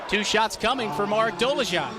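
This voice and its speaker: A man's voice: high voice, normal speed. A young man reporting and calling a live basketball game in clipped announcer phrasing, as on a sports broadcast. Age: young adult. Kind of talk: live sports reporting and radio play-by-play commentary. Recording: noisy arena, crowd behind the voice. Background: crowd noise, bouncing basketball.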